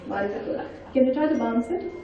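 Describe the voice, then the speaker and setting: High voiced lady doing an animated interactive talk, coaxing the crowd along, super echoey, kinda dull far mic. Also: brisk. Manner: animated, coaxing, addresses listeners with questions and instructions. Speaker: storyteller and speaker leading an audience activity. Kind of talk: interactive conference talk with storytelling. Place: large indoor event hall. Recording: very echoey hall, distant mic, dull sound.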